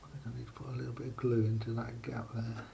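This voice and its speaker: Creaky-voiced man, halting hands-on demonstration. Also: medium pitch, level tone, dark tone. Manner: halting, pausing mid-phrase. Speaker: hobbyist showing a homemade radio aerial project. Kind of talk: casual unscripted hands-on demonstration. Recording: faint background noise, amateur camera recording.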